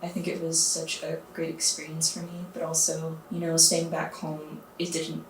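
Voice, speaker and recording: A woman's voice: deep voice, completely flat delivery, moderate pace. A woman from Hawaii answering interview questions in a thoughtful, easygoing way. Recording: distant mic in echoey room with background noise.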